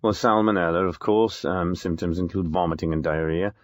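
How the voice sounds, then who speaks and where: Actor as deep, deadpan pedantic professor. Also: male voice, fairly quick, no echo, highs cut off, close to an everyday voice. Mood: deadpan lecturing. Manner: precise, deadpan, lecturing. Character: pedantic, dry male government food poisoning professor. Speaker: expert guest. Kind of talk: spoof radio interview.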